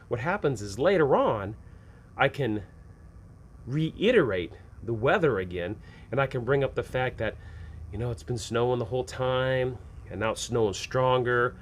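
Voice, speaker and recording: A man with a mid-pitched voice gives instructional advice as a show host. He talks conversationally and rambles a little, repeating phrases as he works through examples. There is clear background noise in the recording. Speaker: host of a tabletop game-mastering advice show.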